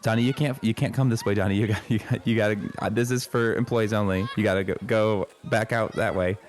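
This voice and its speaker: A man's voice: on the low side, steady tone, fast, natural voice. A man playing a patient but harried zoo keeper in an improvised comedy radio play, politely placating and hesitant as he talks. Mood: gently urging.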